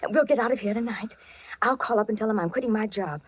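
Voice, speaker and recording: A woman's voice: mid-range voice, fast talker, slightly rough, close to an everyday voice. A woman playing a fugitive's devoted, worried sweetheart in a 1940s radio melodrama, breathless and emotional with a wry edge. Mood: eager, scornful. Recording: dull old radio recording.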